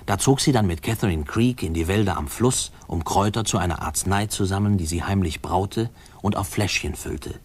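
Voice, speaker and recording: An actor playing a man who fondly remembers his boyhood, narrating a 1950s radio play in a husky, confiding, measured way. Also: low voice, darkish tone. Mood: confiding, reminiscent.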